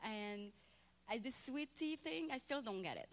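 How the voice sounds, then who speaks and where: A woman's voice: on the high side, expressive, a little slow. A woman in her thirties from Romania, speaking with a Romanian accent, shares her personal testimony at a church and often checks in with the audience. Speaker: guest speaker. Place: church sanctuary.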